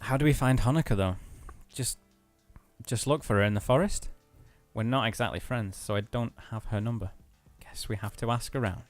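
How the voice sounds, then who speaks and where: A man's voice: medium pitch, normal speed, soft background music. A man co-hosting a let's play, commenting casually on a game with his partner.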